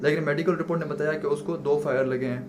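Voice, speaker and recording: A man's voice: on the high side, fairly even intonation, quick pace. Pakistani man lecturing over a noisy hum. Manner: didactic, asks questions and answers them himself. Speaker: law lecturer. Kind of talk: informal explanatory lecture mixing English legal terms. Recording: noisy recording with clear hum.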